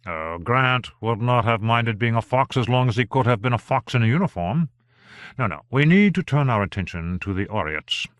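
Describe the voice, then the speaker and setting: A man reading an audiobook, voicing a polite male gentleman magician who asks questions mildly. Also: mid-range voice, animated, moderate pace, no room sound, studio quality, close to an everyday voice.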